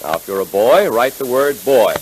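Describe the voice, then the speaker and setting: Animated 1930s radio announcer man. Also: mid-range voice, moderate pace, rough recording. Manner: emphatic, enthusiastic. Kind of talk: 1930s radio announcing, scripted pitch to children. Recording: hissy 1930s radio recording.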